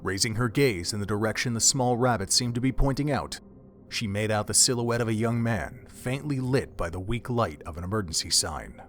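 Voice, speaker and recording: Low-voiced man narrating a story. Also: moderate pace. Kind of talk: scripted audio drama narration.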